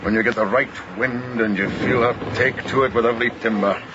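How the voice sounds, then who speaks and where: An actor playing a talkative ship's mate in a radio drama, effusive and opinionated, given to repeating himself. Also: male voice, medium pitch, fairly even intonation, a little fast, natural voice. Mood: proud, effusive. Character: garrulous ship's mate, proud of his vessel. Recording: muffled, noisy ship deck ambience.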